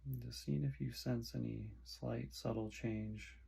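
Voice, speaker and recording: A man guiding a meditation in short, soothing phrases with long pauses between them. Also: medium pitch, steady tone, gravelly, warm tone, slightly rough. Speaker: meditation guide. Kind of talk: guided meditation instruction.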